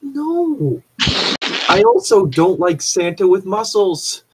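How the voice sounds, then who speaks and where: A man's voice: high voice, very animated, slow pace, background noise. A man co-hosting casual comedic banter in an exasperated, sweary way as he comments on fan fiction. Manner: exasperated, profane. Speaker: co-host reading fan fiction aloud.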